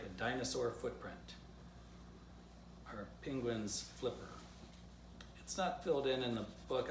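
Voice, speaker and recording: Male children's author, storybook read-aloud and easygoing drawing tutorial; distant mic in an echoey room. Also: medium pitch. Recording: roomy, distant mic, faint background noise.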